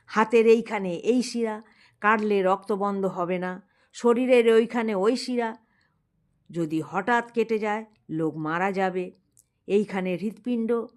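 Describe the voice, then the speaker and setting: A woman tells a humorous folk tale as a scripted narration. She reads slowly and deliberately at a medium pitch, giving the story an expressive, measured delivery.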